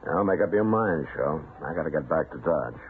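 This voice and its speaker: Very deep-voiced stern frontier marshal. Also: male voice, animated, moderate pace. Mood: firm. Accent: American. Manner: terse, matter-of-fact, authoritative. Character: steady, stern frontier US marshal, middle-aged man. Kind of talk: old-time radio western drama acting. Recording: muffled, noisy old radio recording.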